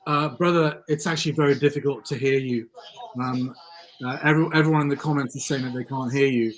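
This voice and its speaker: Man hosting a live show, voice going hoarse. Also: medium pitch, steady tone, fast, poor audio. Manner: hesitant, frequent ums and restarts. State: losing his voice. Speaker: livestream host. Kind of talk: live election-night discussion. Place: studio.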